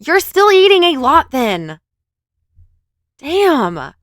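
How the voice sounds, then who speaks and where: A woman's voice: high voice, wildly swooping pitch, drawn-out words, a bit bright, no echo, clean studio sound. A woman reacting to clips in a sarcastic, laughing commentary, swearing now and then. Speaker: commentary host.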